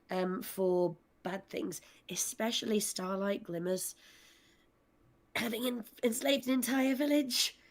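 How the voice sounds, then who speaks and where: A woman speaking articulately and earnestly at length, explaining characters on a discussion panel. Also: mid-range voice.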